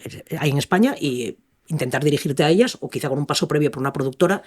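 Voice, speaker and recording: Quick-talking man hosting. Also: on the high side, expressive. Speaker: podcast host. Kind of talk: conversational interviewing.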